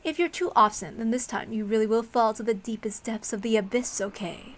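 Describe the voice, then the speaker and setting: A woman's voice: a little high, fairly even intonation, brisk, natural voice. A woman voicing a cruel, condescending demon examiner in a story reading, taunting with mocking, coaxing questions. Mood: quiet menace.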